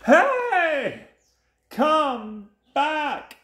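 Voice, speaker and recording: A man's voice: on the high side, big pitch swings, unhurried, gravelly, fairly bright tone, full character voice. A man voicing frightened little storybook sea creatures, exclaiming and pleading in panic. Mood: protesting. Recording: echoey room.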